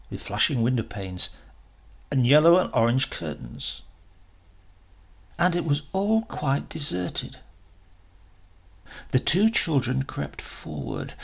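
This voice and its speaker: A man reading a literary story aloud in an expressive, measured, dramatic storytelling manner. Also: medium pitch, creaky, slightly rough. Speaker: story reader.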